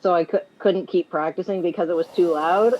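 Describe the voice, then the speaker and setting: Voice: medium pitch, steady tone, fairly quick, muffled recording, slight background noise. A tabletop game player rambling in casual chat, often repeating words.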